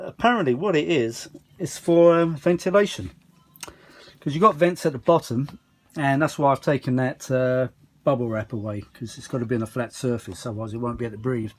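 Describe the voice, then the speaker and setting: A man's voice: medium pitch, normal speed, darkish tone. A man giving a casual, rambling hobbyist demonstration, chatty and self-deprecating, with muttered asides. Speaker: vintage audio hobbyist presenting a restoration.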